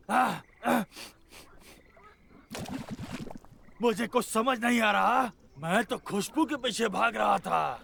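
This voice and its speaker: Cartoon villain wolf: hungry, gloating, high gravelly voice, music bed. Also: male voice, pushed and bright, a little hiss or hum, heavily acted. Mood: baffled, angry. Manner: gloating, drawn-out threats and growling cries. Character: menacing, hungry male wolf hunting cubs for fresh meat. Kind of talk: Hindi cartoon dub acting. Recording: music under the voice.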